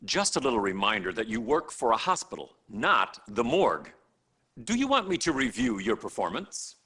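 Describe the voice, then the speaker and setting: An actor playing a sarcastic, condescending hospital supervisor in a video game cutscene, with theatrical, deliberate pauses. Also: male voice, mid-range voice, big pitch swings, pushed and bright, slightly rough, full character voice. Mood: scathing sarcasm. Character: sarcastic, condescending male hospital boss berating a doctor.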